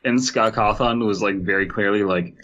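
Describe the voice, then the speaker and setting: A man's voice: lowish voice, fairly even intonation, moderate pace, a bit bright, highs cut off. A man co-hosting a podcast in casual discussion, sardonic and prone to acting out imagined quotes. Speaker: podcast co-host.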